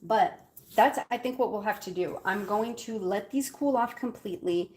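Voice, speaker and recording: A woman's voice: medium pitch, moderate pace, a little mellow. A woman with an Algerian Arabic accent and Arabic as her first language, chatting casually while she bakes in her home kitchen, with lots of fillers and giggles. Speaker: cooking vlogger. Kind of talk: casual chat while baking.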